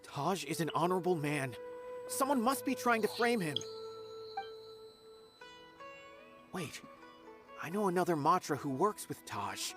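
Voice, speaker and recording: A young adult man voicing an earnest game character who is quick to protest, speaking over soft game music. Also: on the high side, very animated. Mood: upset.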